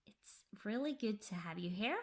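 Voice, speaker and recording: A Norwegian woman, English her second language, chatting softly about her cross-stitch project and often dropping into a whisper. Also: medium pitch, expressive. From Norway. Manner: slow, soft-spoken, drifting into whispers. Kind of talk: casual tutorial chat.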